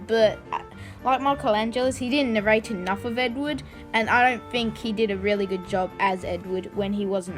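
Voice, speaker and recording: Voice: medium pitch, a bit bright. A video host giving informal, opinionated commentary in a casual style.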